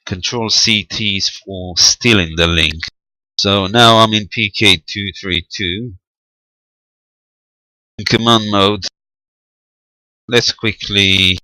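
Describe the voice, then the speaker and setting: Slow deep guy doing an unscripted tech walkthrough, kinda hesitant, thinking out loud, really dry sound. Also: dry recording, poor audio. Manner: hesitant, thinking aloud while typing. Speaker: amateur radio tutorial presenter. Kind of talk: unscripted screen demonstration, step-by-step.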